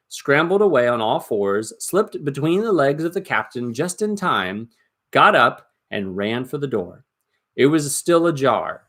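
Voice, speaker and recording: A man reading a story aloud as dramatic narration, expressive and suspenseful, with deliberate emphasis. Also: mid-range voice. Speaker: storybook reader.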